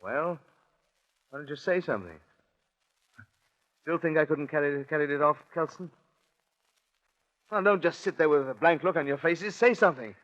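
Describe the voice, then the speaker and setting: An actor playing a nervous, confessing murderer in 1940s radio acting style, intense and dramatic. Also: male voice, a little high, lively intonation, moderate pace, long pauses, a bit bright. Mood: rattled, incredulous. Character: nervous male murderer confessing, cocky then rattled. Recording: muffled 1940s radio recording with music.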